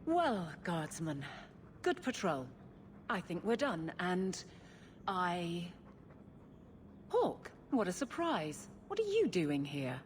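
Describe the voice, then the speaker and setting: An actress playing a blunt, earnest woman guard captain who gets flustered and halting, in cinematic video game dialogue. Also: fairly low pitch, sing-song, a little hiss or hum. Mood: awkward, stiff.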